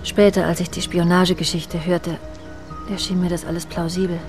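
An actress in a German radio drama playing a distraught, guilt-ridden woman who confesses, pleading and halting. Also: fairly low pitch, flat delivery, over music, lightly acted. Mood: guilty confessing. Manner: pleading, halting, tearful under questioning.

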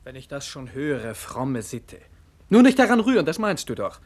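An actor playing a rebellious nineteen-year-old son in a radio play, earnest, critical and argumentative. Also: male voice, mid-range voice, big pitch swings, moderate pace. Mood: bitter, indignant. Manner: emphatic, argumentative. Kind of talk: late 1960s radio play acting.

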